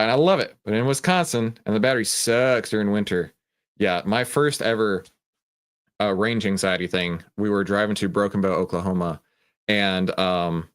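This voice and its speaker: Low-pitched man hosting a live trading stream. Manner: conversational, often tags statements with "right?"; emphatic, explanatory, poses questions and answers them. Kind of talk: casual live market commentary, chatting with viewers.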